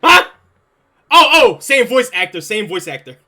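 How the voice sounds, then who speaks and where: Bright excitable swearing streamer man. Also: very high voice, a little slow. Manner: casual, swears a lot, excitable. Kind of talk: live reaction stream chat.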